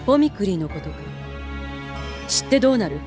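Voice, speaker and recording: A woman's voice: mid-range voice, very animated, a little fast, close to an everyday voice. A woman acting a cold, authoritative military instructor and zealot in an anime audio drama. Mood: stern, threatening. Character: stern, commanding female military instructor turned zealot. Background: background music.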